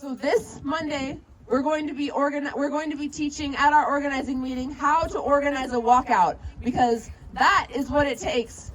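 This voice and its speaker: A woman's voice: sits high, steady tone, moderate pace, few pauses. A woman giving a fiery rally speech outdoors, calling on the crowd to answer her. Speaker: protest speaker, educator organizer. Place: outdoor protest in a city. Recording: noisy outdoor rally recording.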